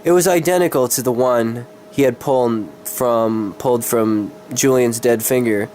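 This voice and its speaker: A man's voice: medium pitch, a little slow, darkish tone. Stumbling amateur storyteller, a man, noisy recording. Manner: stumbling, tongue-tied, sweary. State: sick. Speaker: amateur reader of his own fantasy story. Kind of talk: dramatic reading with casual asides.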